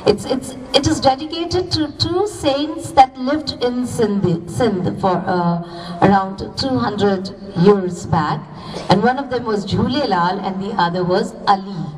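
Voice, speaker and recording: A woman from India speaking as host at a school event, with pauses and fillers as she talks. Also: mid-range voice, a little mellow, faint music behind. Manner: hesitant, with fillers and restarts. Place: large school hall. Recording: distant mic in a reverberant hall with noise.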